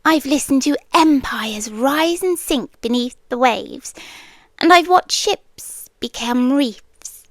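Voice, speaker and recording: Woman acting slow, high-voiced British siren captor. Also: lively intonation, no room sound, exaggerated voice. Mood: lonely, haunting. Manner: slow, coaxing, often dropping into whispers. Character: possessive ancient female siren, sweetly menacing yandere captor. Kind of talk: roleplay ASMR, soft intimate dramatic monologue.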